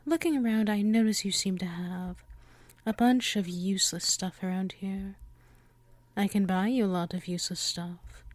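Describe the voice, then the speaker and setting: An obsessive female vampire, acted by a woman for an ASMR audio roleplay. She speaks slowly and deliberately in a mid-pitched voice that slips into breathy whispers. Her manner is coaxing yet menacing, hungry and possessive, captured close and very dry. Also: darkish tone, no room sound, natural voice.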